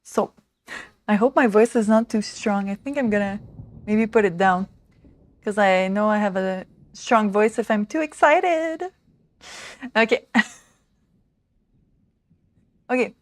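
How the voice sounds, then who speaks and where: A woman in her thirties hosting a casual makeover livestream, rambling and excitable, with lots of "like" and "um". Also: mid-range voice, slow, long pauses, dry recording. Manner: rambling, excitable, many fillers like "like" and "um". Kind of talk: casual chatty livestream talk. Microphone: small desk mic.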